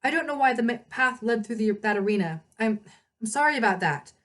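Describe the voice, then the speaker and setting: Woman playing teenage girl guide, storybook character, earnest, plain-spoken, raised pitch. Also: expressive, brisk, a bit bright. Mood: earnest, apologetic.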